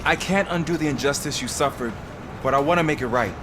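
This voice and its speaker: An actor playing an earnest, helpful police officer in a video game, casual and conversational. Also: male voice, mid-range voice, quick pace, heavy background noise, close to an everyday voice. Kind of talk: video game cutscene acting.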